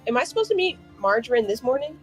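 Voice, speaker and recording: A woman's voice: fairly high pitch, fast, faint music behind. A woman playing a tabletop role-playing game, rambling on at length about her character with frequent laughter. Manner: rambling, laughing, lively swings in tone. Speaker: player.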